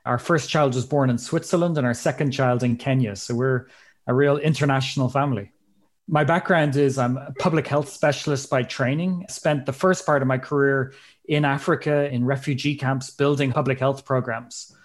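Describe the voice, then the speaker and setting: A man's voice: mid-range voice, steady tone. Earnest Irish man introducing himself. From Ireland. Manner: earnest, measured and articulate. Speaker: guest, investment team member. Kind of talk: interview self-introduction.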